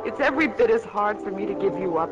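A woman's voice: mid-range voice, lively intonation, fast, loud music behind the voice, lightly acted. An actress playing an earnest, lovesick young woman in a 1940s radio melodrama. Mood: anguished. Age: young adult. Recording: dull old radio broadcast.

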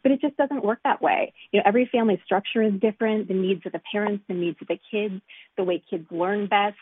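A woman physician in her thirties explains patiently and earnestly, peppering her points with "you know." Her voice is a little husky and comes through a tinny phone line. The sound is dry, with no room around it. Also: mid-range voice, fairly even intonation, quick pace, dry recording. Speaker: pediatric physician guest. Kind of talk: interview over the phone.

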